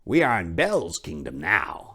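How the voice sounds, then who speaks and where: A man voicing a leering, menacing high priest of a dark god in a dark storybook reading, with a mocking, theatrical, drawn-out delivery. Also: huge pitch swings, slightly rough, full character voice. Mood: mocking menace.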